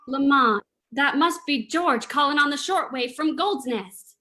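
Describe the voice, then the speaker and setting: An actress playing an alert, loyal detective's assistant in an old-time radio drama, earnest and attentive. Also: female voice, high voice, measured pace, sharp tone.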